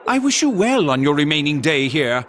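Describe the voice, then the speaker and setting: Flamboyant, sing-song gentleman. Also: fairly high pitch, sing-song, moderate pace, slight background noise. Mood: grandly courteous. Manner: pompous, theatrical, florid. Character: flamboyant, affected gentleman posing as a poetic noble. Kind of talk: English-language game voice acting.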